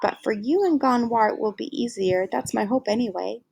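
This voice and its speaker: A woman reading as a weary, gentle aunt. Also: fairly high pitch, vocal fry, breathy edge. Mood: reflective. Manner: slow, reflective reading of dialogue. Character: weary, soft-spoken aunt, gentle and tired.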